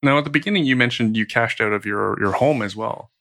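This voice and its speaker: A man's voice: mid-range voice, fast talker, a bit bright. A man hosting a real estate podcast, chatting casually with a guest, with jokey asides and frequent laughs. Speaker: podcast host, interviewer. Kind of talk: casual interview chat.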